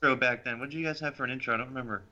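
A man's voice: mid-range voice, steady tone, racing, bright, forceful tone, dull audio. A man chatting casually on a podcast, teasing the hosts. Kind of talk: casual chat.

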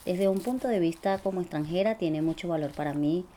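Venezuelan woman reading her own story, low mellow voice, slow and careful, faint noise behind. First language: Spanish. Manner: measured, deliberate reading. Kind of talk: reading a personal memoir.

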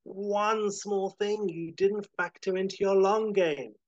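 A plucky cartoonish duck hero, played by a male game master, pitched well above a normal man's voice. He is loud and defiant, throwing out bold one-liners in a tabletop adventure. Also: fairly even intonation, takes it slowly, dry recording, dull audio. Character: plucky heroic talking duck, defiant band manager.

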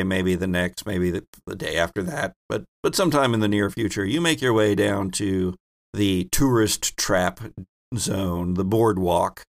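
A man narrating a tabletop game as game master, describing scenes in a deliberate, halting way. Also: lowish voice, gravelly.

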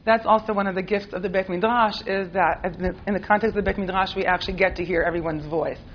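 A woman's voice: medium pitch, fairly even intonation, fast, dull audio, slight background noise. Playful American-accented woman rabbi teaching. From Israel. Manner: chatty and playful, often laughing, many fillers. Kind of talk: informal classroom teaching. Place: classroom with a live group.

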